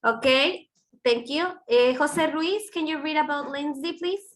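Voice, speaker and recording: A woman's voice: high voice, measured pace. A woman who is a native Spanish speaker, teaching English online and calling on students in an informal, self-correcting way. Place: online video class.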